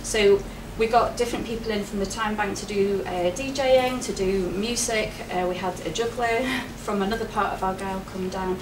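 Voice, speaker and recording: A woman's voice: mid-range voice, steady tone, normal speed, few pauses. Chatty woman presenting in an echoey room. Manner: chatty, laughs often. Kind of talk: public presentation. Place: wood-panelled meeting room with projector. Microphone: far camera, no mic. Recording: echoey room, distant camera, noisy.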